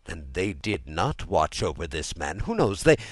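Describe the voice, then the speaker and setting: A man's voice: deep voice, big pitch swings, normal speed, no room sound. A man voicing an indignant, imperious French king in an audiobook, commanding and emphatic. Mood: alarmed, indignant. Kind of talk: audiobook character dialogue.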